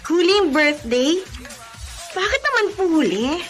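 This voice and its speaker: Woman as gentle young bride-to-be, radio drama, high lively voice, faint music. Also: unhurried, full character voice. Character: gentle young adopted daughter about to marry. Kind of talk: classic radio drama acting.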